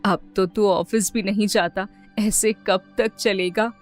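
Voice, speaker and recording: A caring middle-aged mother from a cartoon story, acted out by a woman. She speaks in a lowered, soft, concerned voice, with faint music underneath. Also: fairly even intonation, takes it slowly, lightly acted. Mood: worried. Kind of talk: animated moral story dialogue.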